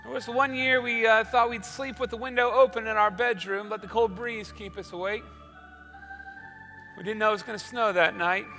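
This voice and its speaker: A man with an extremely high, bright voice hosts a stage show with comic storytelling. He has a showman's delivery, repeating phrases for effect, with faint music around him. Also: fairly even intonation, normal speed. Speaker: show host, comic monologist. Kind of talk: stage comedy storytelling monologue.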